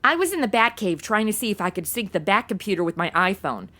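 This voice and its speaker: A woman's voice: mid-range voice, sing-song, fast talker, fairly bright tone. A woman playing a sarcastic, flippant modern young woman in a comic audio drama, talking in casual slangy banter. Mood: sarcastic, exasperated. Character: snarky modern young woman stuck in old radio shows. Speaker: star of an audio comedy.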